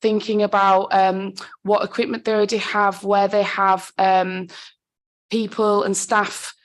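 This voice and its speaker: A woman speaks with a husky, slightly sore-throated voice at a middle pitch. She presents a report to a committee in an easy, conversational way, pausing on ums and correcting herself as she goes. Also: little pitch movement. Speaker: council digital inclusion officer.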